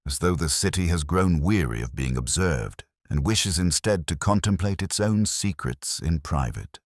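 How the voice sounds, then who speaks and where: Very deep-voiced man narrating a mystery. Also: breathy edge, no echo, clean studio sound. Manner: measured, reflective, literary phrasing. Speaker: first-person narrator of a detective story. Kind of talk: scripted literary mystery narration.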